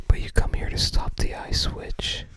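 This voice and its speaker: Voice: normal speed, a bit bright. An ASMR creator whispering casual commentary in short, hesitant, rambling fragments.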